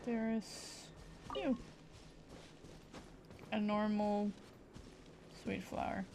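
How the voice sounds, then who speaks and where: A woman's voice: medium pitch, fairly even intonation, extremely slow, a little mellow. A woman streamer giving casual live gameplay commentary, with a playful, cutesy way of playing with words. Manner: playful, cutesy word play. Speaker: game streamer. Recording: faint background noise and game ambience.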